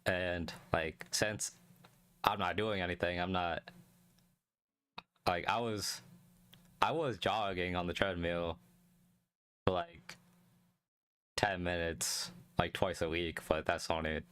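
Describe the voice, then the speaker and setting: A young man streaming a casual solo chat, calm and low-energy, talking close to the mic and rambling with many restarts. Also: slow pace, pauses often, clean tone, no room sound. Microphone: close desk mic.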